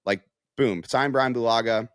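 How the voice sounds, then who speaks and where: A man's voice: mid-range voice, normal speed, no room sound, clean studio sound. An American man in a casual sports analysis chat as a reporter, conversational and peppering his points with "like" and "you know". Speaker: sports reporter, podcast guest.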